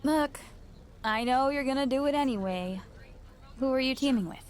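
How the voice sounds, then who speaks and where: Game role: sarcastic, fed-up female promoter, played by an actress, fairly high-pitched voice. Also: normal speed, close to an everyday voice. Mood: exasperated, sarcastic. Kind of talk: scripted game cutscene dialogue.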